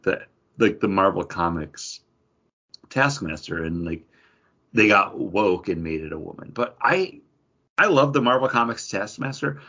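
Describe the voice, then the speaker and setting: Male podcast co-host, deep and rough-edged, opinionated casual chat, slightly dull lo-fi recording. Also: a bit bright. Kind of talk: casual chat about comic book movies.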